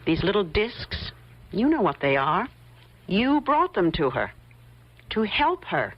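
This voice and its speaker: A woman's voice: medium pitch, big pitch swings, slow. An actress playing a shrewd, coolly confident woman investigator in an old-time radio mystery, insistent and pointed. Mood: coolly explaining. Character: shrewd, probing woman investigator laying out her theory. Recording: muffled old radio drama recording.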